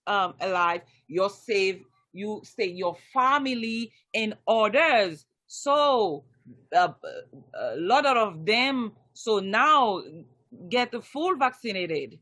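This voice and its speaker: Slow, halting woman with Haitian Creole accent. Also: medium pitch, expressive, a bit bright. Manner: halting, many ums and restarts. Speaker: community outreach volunteer. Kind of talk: online group meeting.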